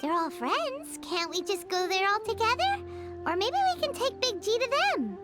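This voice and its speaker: A tiny floating fairy sidekick, chirpy and excitable, whose very high voice swoops wildly up and down. She questions everything and speaks of herself in the third person, over game music. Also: normal speed. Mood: quizzical. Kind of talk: video game voice acting. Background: game background music.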